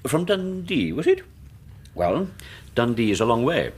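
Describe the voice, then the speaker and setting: A man's voice: medium pitch, very animated, unhurried, darkish tone, slight background noise. An actor playing a fussy, dismissive older Victorian father with an English accent in a radio drama, pompous and rambling in manner. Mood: blustery, dismissive.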